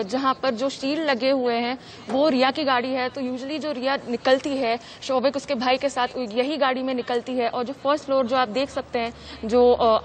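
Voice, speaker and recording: A high-voiced female field reporter speaks live from outdoors. Her phrasing is hesitant and circles back on itself. There is faint noise around her. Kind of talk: live on-location news report. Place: outdoors on a street outside an apartment building. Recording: noisy outdoor live feed.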